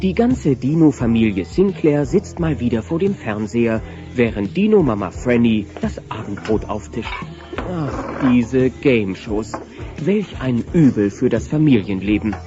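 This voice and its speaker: A man's voice: medium pitch, lively intonation, unhurried, lightly acted. A man narrating a children's audio play as a wry storyteller in a scripted style. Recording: muffled old cassette. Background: music.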